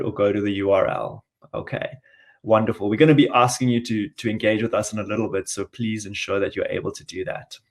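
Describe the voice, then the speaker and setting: A South African man with a South African accent, hosting an online workshop in an earnest way, often repeating words. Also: lowish voice. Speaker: workshop facilitator.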